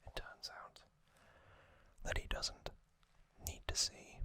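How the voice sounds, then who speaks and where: A man whispering gently and breathily as an ASMR host, recapping a story in unhurried, halting phrases close to the microphone. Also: dry recording.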